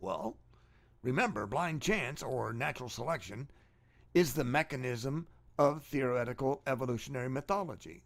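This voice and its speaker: Man reading polemically with very animated pitch. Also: creaky. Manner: rhetorical questions, sarcastic emphasis. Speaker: narrator reading own book chapter. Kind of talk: emphatic, argumentative audiobook-style reading.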